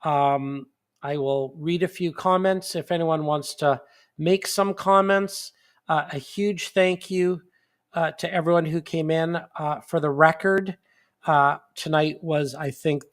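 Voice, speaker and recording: A man hosting a monthly live stream in casual chat, sniffly, rambling with fillers and restarts. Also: a little high.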